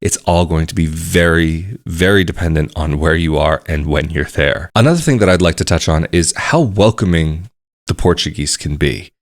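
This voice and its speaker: Man, very low voice, measured explanatory vlog talk, repeats for emphasis. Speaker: vlogger. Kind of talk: casual to-camera talk.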